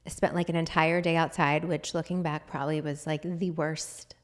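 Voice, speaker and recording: A woman in her thirties co-hosts a podcast in a relaxed, chatty way, laughing often and filling her talk with "like". Her voice sits a little low and sounds a touch hoarse, with a lingering froggy throat. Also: moderate pace. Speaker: podcast co-host. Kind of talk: casual chat.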